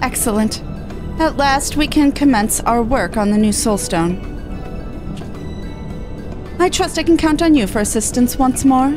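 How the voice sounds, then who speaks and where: A haughty scholarly artificer from a fantasy game, played by a woman, speaking in pompous formal phrases. The voice is fairly high and condescending, with music behind it. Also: expressive, measured pace, pauses often. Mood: composed, superior. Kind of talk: game dialogue read aloud in character.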